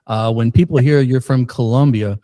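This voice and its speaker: Podcast host guy, mid pitch, mellow, dry mic, jokey and halting. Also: dry recording. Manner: joking, rambling, halting questions. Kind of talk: podcast interview. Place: Missouri.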